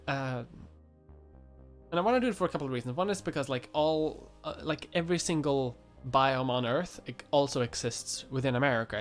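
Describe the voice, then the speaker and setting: A man in his twenties from Europe, chatting casually on a livestream, rambling with many fillers and restarts and laughing often. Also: medium pitch. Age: 20s. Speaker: YouTuber.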